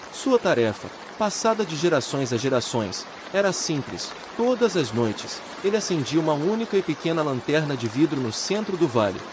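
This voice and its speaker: A man reading a bedtime story as calm, measured, gentle scripted narration meant to soothe listeners to sleep. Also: on the high side, background noise. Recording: loud steady rain and water ambience. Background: rain sounds.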